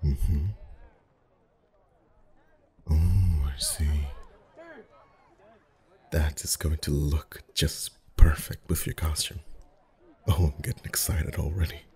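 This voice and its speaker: A man playing a friendly, chatty, gently flirtatious festival face painter in a soft-spoken ASMR roleplay, with a gentle, rambling manner. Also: a bass voice, steady tone, slow pace, long pauses, full character voice. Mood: warm, attentive. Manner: gentle, rambling, softly chuckling. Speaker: ASMR voice actor.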